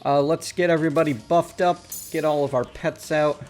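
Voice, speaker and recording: A man's voice: a little high, fairly even intonation, normal speed, a little mellow, soft background music. Upbeat man hosting a game session. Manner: upbeat, explains game items, laughs easily. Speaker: host. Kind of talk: casual multiplayer gaming chat.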